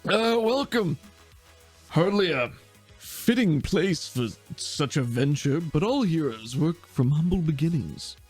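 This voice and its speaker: A man doing a pompous, high-voiced male hero. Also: sing-song, slow, a little mellow, husky, soft background music, exaggerated voice. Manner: grandiose, theatrical, falsely humble. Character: pompous self-important male task force partner.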